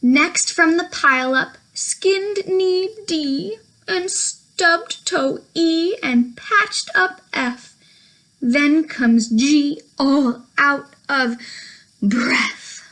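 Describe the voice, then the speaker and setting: Woman, young adult, children's storytime presenter, high voice, very slow pace, sing-song, playful. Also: a bit bright, poor audio. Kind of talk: reading a picture book aloud to small children.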